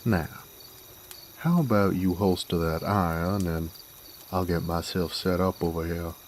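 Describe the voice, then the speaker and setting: A man playing a genial, smooth-talking cowboy drifter with an American Western drawl, courteous and wordy in an audio roleplay. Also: low voice, steady tone, a little hiss or hum. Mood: amiable, teasing. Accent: American Southern/Western drawl. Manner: folksy, courteous, wordy, unhurried. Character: smooth-talking male werewolf bounty hunter drifter, genial Western wanderer. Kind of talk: Western audio roleplay, acted monologue to listener.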